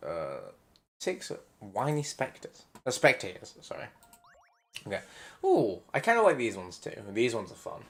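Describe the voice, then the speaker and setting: A man's voice: medium pitch, huge pitch swings, measured pace. Man mumbling through a puzzle game. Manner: muttering, reading puzzle text aloud. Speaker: game player. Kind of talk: let's play commentary.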